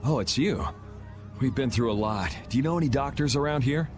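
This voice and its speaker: Game lead: man as tired, easygoing adventurer, medium pitch, music underneath. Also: animated, a little fast, natural voice. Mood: weary. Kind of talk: game cutscene voice acting.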